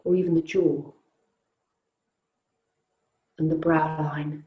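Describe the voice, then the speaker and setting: A woman teaching yoga nidra, guiding a relaxation in a soothing, unhurried, gently inviting way with long pauses. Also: deep voice, fairly even intonation, echoey room, phone-quality sound. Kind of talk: guided relaxation meditation.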